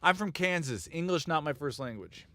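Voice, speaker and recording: A man's voice: on the high side, animated, moderate pace, sharp tone, no room sound, studio quality. Blunt, rambling male music producer. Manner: rambling, blunt, self-mocking with frequent chuckles. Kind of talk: casual studio chat on a live stream.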